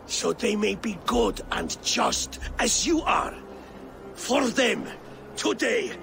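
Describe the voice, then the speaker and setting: Gravelly old Viking jarl. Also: male voice, sits high, exaggerated voice. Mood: rousing. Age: older. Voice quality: gravelly, weathered. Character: aging Viking jarl, proud, warm, battle-weary chieftain. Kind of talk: video game cutscene acting.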